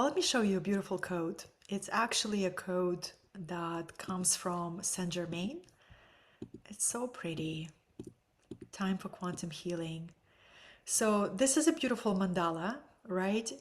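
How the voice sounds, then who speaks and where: Russian-accented woman, webinar teacher, soft, breathy delivery with whispered asides. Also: fairly low pitch. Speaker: energy healing teacher.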